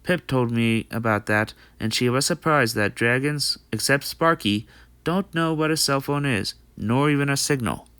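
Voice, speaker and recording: A man's voice: medium pitch, normal speed, a bit bright, clean tone, no echo, close to an everyday voice. A man playing a friendly, dutiful young male pony in an amateur comic dub, explaining things in an earnest, read-out way. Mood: earnest, pondering.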